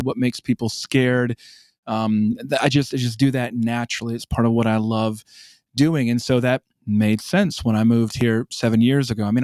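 A man's voice: medium pitch, a little mellow, no echo, studio quality. A man from South Dakota in his late thirties or early forties, answering interview questions in a thoughtful way and repeating words as he starts a thought. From South Dakota, USA. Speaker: guest.

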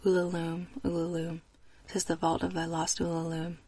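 A woman's voice: fairly low pitch, completely flat delivery, moderate pace, dark tone, breathy edge. A woman voicing Psyche, a fearful winged female soul, with urgent pleading.

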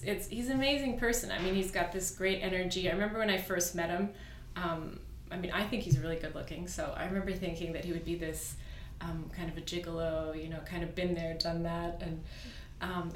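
A woman's voice: fairly low pitch, fairly even intonation, a little fast, roomy sound, a little hiss or hum. A woman from Virginia in the United States, a podcast guest chatting casually and easily, with many fillers and restarts. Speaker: podcast guest, beauty brand co-founder. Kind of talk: casual interview chat. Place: studio.

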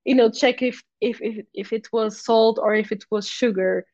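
A woman's voice: fairly high pitch, steady tone, moderate pace, a little mellow, no room sound, muffled recording. A young woman from Portugal with a Portuguese accent, a guest athlete chatting casually, with many restarts and fillers. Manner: talkative, many restarts and fillers, laughs easily. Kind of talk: casual interview chat.

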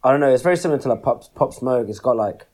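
A young man, co-hosting a podcast and chatting about music in a quick, agreeable, slangy way. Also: medium pitch, animated, darkish tone. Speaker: podcast co-host. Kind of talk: casual chat about music.

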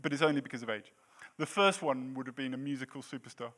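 A man's voice: medium pitch, fast talker, fairly bright tone. A man in his late thirties preaching a sermon in a church, with a self-deprecating, jokey manner between points. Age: 30s. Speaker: pastor.

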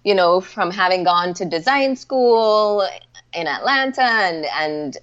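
A woman's voice: mid-range voice, expressive, slow pace, a bit bright, lo-fi sound. A woman in her forties from Barbados, a lettering artist chatting casually as a guest and often saying "you know.". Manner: chatty, with frequent "you know" fillers and light laughs. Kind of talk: casual interview chat.

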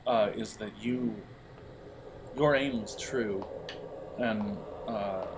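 A man narrating an audio role-playing game as game master, halting as he thinks aloud. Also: on the low side, background noise.

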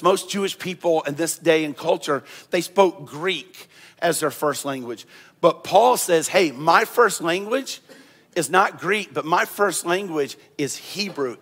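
Male pastor, fairly high voice, conversational sermon delivery in a church hall with long reverb. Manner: conversational preaching with repeated phrases, growing animated.

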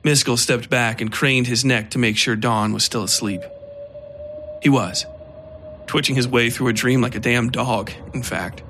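A man narrating a dark horror fiction story in a steady, measured way. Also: medium pitch, slight background noise.

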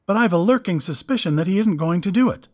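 A man's voice: high-pitched, lively intonation, fast, pitched above the performer's own voice. A man voicing a sly, dry-witted doctor who speaks in a purring, wry way. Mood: sardonic. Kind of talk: audiobook character voice.

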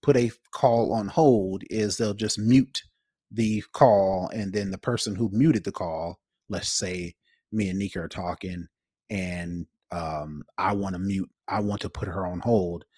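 A man's voice: sits low, fairly even intonation, unhurried, mellow, no room sound, studio quality. A man co-hosting a podcast in casual chat, explaining things informally and circling back to rephrase. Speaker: podcast co-host.

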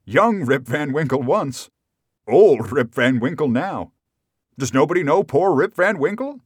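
A humble, desperate old storybook man, acted out by a male narrator, with a raised voice that swings widely in pitch. He sounds bewildered and plaintive, crying out his words. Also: sing-song, moderate pace, a little mellow, slightly rough, dry recording, clean studio sound. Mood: emotional. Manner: plaintive, exclamatory.

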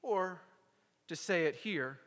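Slow, animated preaching man. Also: sits high. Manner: emphatic, rhetorical, deliberate pauses. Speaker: pastor. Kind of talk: sermon. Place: church sanctuary. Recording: long hall reverb.

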